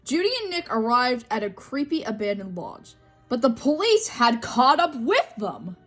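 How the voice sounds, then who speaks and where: A woman with a high, husky voice reads a children's book aloud. She tells it expressively, with animated rises and falls, over light music. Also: normal speed. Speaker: storybook reader. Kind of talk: children's read-aloud narration.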